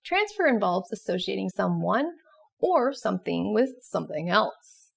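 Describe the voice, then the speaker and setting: A woman with a strongly creaky, fry-laden voice reads scripted educational narration. She presents concepts as the host of an explainer series, at an ordinary middle pitch. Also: sing-song, normal speed.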